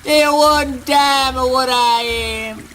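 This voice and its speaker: A man playing an eccentric, grandiose son in a theatrical spoken word drama, with an erratic, drawn-out delivery. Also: very high voice, steady tone, pushed and bright, soft background music, heavily acted. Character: eccentric, grandiose son boasting of strange knowledge.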